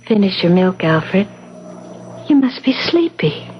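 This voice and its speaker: A woman's voice: mid-range voice, big pitch swings, slow pace. A woman playing a shrewd, insistent suburban wife in a 1970s radio drama. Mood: nagging, insistent. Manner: insistent, repeating her questions. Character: persistent, managing suburban wife, nagging and shrewd. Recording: muffled old radio, faint hiss.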